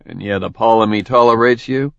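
A man's voice: fairly low pitch, brisk, creaky, no room sound. A man voicing a wary questioning man. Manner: curious, questioning. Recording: dry, slightly dull audiobook recording.

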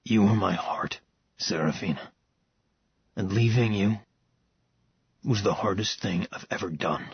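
Man voicing a cursed dragon lover, husky gravelly voice, low-pitched, tender, solemn. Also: unhurried, pauses often, dry recording, muffled recording. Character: brooding cursed male dragon shifter lover.

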